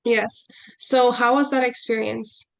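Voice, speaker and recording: Woman interviewer, casual and chatty, slightly higher voice. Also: steady tone, unhurried, gravelly, low-quality recording. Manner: chatty, sympathetic, uses "like".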